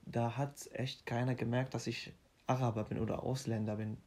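Young man, mid-pitched, halting interviewee who restarts his sentences. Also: flat delivery, darkish tone. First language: Arabic. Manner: halting, restarts often, simple phrasing. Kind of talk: casual interview.

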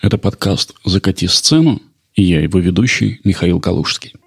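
A male podcast host giving measured, scripted narration about history. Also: low voice, creaky.

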